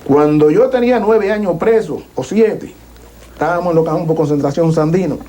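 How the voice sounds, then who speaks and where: A man's voice: on the high side, normal speed, mellow. A middle-aged man with a Cuban accent telling stories in an interview in a blunt, colloquial, emphatic way. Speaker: interviewee, former prisoner. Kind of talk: casual storytelling in interview.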